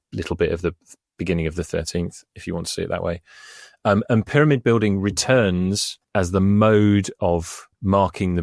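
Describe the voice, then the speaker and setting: A man, an Egyptologist, explaining ancient history in a deadpan, measured way on a podcast, with many small fillers. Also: low voice, creaky, no echo, clean studio sound. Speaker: Egyptologist co-host.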